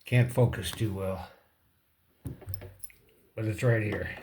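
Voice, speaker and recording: A man casually hosting a hands-on repair demonstration, talking in short, halting phrases as he thinks aloud. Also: lowish voice, a little mellow, slight background noise. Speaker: repair tutorial host.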